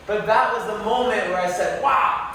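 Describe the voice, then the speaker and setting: A man's voice: sits high, normal speed, sharp tone. A man speaking as a guest in a university classroom, chatty and rambling, often asking students questions. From United States, near New York City. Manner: chatty, rambling, many fillers and self-corrections. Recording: echoey room, distant mic, faint noise.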